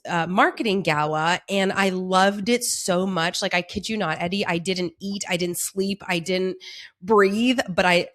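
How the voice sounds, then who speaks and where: A woman's voice: medium pitch, fairly bright tone. A woman in her thirties from Montreal, a native French speaker, chatting candidly as a guest on a video-call interview. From Montreal, Canada. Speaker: wedding planner, guest. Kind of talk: casual interview chat.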